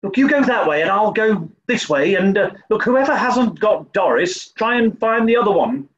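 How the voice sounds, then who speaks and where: Man playing a high-voiced, fussy dim toff. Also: animated, moderate pace, a bit bright, muffled recording. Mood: eager. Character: dim-witted, earnest upper-class toff turned amateur sleuth. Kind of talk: 1940s radio comedy acting.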